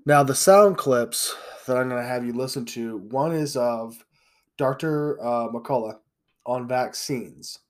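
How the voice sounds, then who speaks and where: A man's voice: medium pitch, slow pace. Congested male podcast host, blunt and opinionated monologue. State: congested, a little sick.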